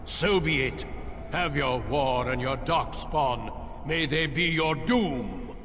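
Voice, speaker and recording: A grave, bitter game antagonist, speaks slowly and solemnly in a long, cavernous reverb. The delivery is theatrical and deliberate, with background noise around the voice. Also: male voice, medium pitch, lively intonation, fairly bright tone. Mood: grim defiance. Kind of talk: dramatic video game voice acting. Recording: long hall reverb over noisy ambience.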